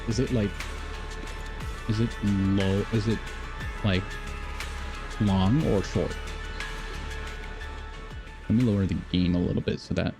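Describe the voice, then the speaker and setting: A man live streaming a video game, chatting casually with viewers and thinking aloud in a rambling, repetitive way. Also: fairly low pitch.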